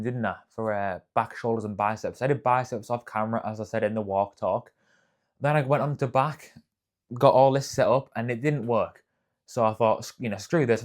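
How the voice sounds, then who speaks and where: A young man rambles along in easy vlog chat, picking up and dropping his sentences. His voice sits fairly low, and a clip-on mic catches him close. The sound has the slight echo of a cold garage. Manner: chatty, rambling, self-correcting. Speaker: fitness vlogger. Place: outdoors by a busy road; cold garage gym, echoey.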